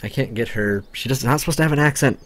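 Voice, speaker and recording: A man's voice: medium pitch, fast, a bit bright. A Canadian man reading a visual novel aloud, joking and commenting casually. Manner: casual, joking, self-interrupting. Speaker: let's play narrator.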